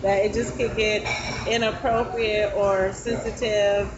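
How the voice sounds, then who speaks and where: A woman's voice: mid-range voice, flat delivery, a little slow, over loud music. A woman comedian, a podcast guest, chatting casually at a table with short reactions and questions. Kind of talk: casual chat at a restaurant table. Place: restaurant with a fan. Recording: muffled sound with loud background noise.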